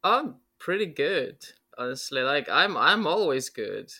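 A man's voice: a little high, big pitch swings, measured pace, pushed and bright, breathy edge. A man, talking casually and reflectively as an interview guest, with lots of filler words. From Poland. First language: Polish. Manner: rambling, reflective, many filler likes.

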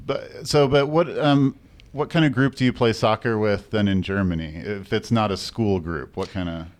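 A man's voice: lowish voice, moderate pace. An American man interviewing someone in a casual, conversational way.

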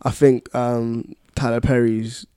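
A man's voice: medium pitch, flat delivery, takes it slowly, warm tone, clean tone, no echo, clean studio sound. A man chatting casually on a podcast with friends, enthusiastic and slangy. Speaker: podcast co-host.

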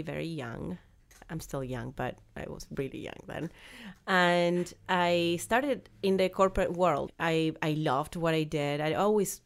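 A woman in her forties speaks with a strong Argentine Spanish accent in a notably low voice. She talks haltingly as a podcast guest, often restarting her sentences and laughing softly between thoughts. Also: gravelly. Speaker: guest, business founder. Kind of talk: conversational interview.

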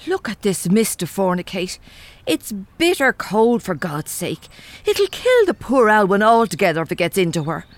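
A woman's voice: mid-range voice, very animated, moderate pace, close to an everyday voice. An actress playing a blunt, suspicious Irish woman in a comedy audio drama, complaining in colloquial speech with an Irish accent. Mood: exasperated. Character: blunt, suspicious, no-nonsense Irish woman, reluctant time traveller.

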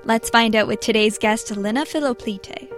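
A woman's voice: a little high, moderate pace, soft background music. A woman hosting an interview podcast, giving scripted introductions and asking her guest questions.

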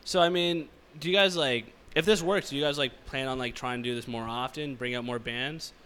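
A young man from Canada runs a casual interview with a band. He jokes around, fills his sentences with "like," and speaks at a mid pitch. Faint noise sits under his voice. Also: a little fast, bright, forceful tone. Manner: joking, filler-heavy, many likes.

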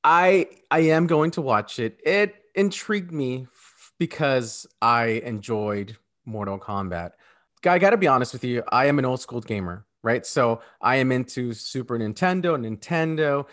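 A man co-hosting a podcast in casual, opinionated chat, emphatic and repeating phrases for stress. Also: mid-range voice. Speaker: podcast co-host.

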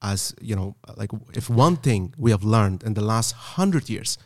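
Man, podcast host, earnest and halting, fairly low voice, dry close recording. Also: expressive, darkish tone, dry recording. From Afghanistan. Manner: earnest, hesitant with many fillers. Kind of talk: casual podcast conversation. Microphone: podcast microphone.

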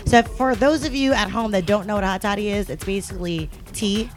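A nasal-voiced woman from the Bay Area co-hosts a relaxed podcast. She sounds stuffed up from a cold and keeps a teasing, easygoing tone. Faint music runs underneath. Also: medium pitch, fairly quick. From San Francisco Bay Area. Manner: casual, teasing, laughs often. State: has a cold. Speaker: podcast co-host. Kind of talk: casual chat over drinks.

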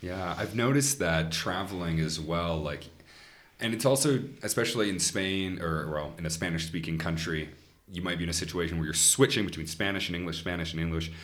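A man hosting a casual podcast with a very low, deep voice. He talks easily and conversationally, with small laughs slipped in between his words. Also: a little fast. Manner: relaxed, laughs lightly mid-sentence. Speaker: podcast host.

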